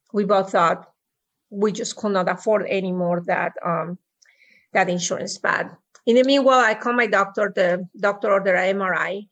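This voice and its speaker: Middle-aged woman, Mexican accent, interview guest, halting, lots of ums, remote call. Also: medium pitch, a bit bright, highs cut off. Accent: Mexican Spanish. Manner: halting, many ums and restarts. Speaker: podcast guest. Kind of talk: personal story in an interview.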